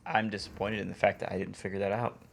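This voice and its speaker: Male co-host, slightly low voice, relaxed conversational delivery, dry wry joker. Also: quick pace, creaky, a little hiss or hum. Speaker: podcast co-host. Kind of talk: casual chat.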